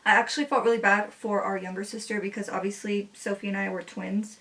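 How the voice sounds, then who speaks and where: A woman's voice: mid-range voice, brisk. Chatty twentysomething woman, spanish was her first language, lots of "like", mic a bit far off. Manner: chatty, relaxed, many "like" fillers. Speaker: beauty vlogger. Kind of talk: casual chat while doing makeup.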